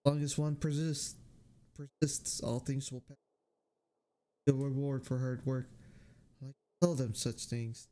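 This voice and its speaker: A man reading game dialogue aloud on a livestream in a halting, subdued way. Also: medium pitch, level tone, dark tone. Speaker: game streamer.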